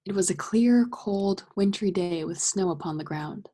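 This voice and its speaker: A woman narrating a ghost tale in a radio play with a breathy voice and measured, dramatic delivery. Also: fairly low pitch, dark tone. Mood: measured, eerie. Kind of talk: scripted narration.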